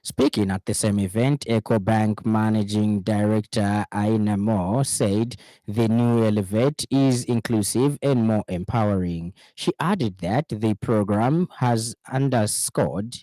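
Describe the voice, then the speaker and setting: A man with a Zambian accent reads the news on radio. His voice is lowish and dark, with little edge to it. He is formal and measured, in a dry, close studio sound. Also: dry recording. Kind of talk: scripted news bulletin reading.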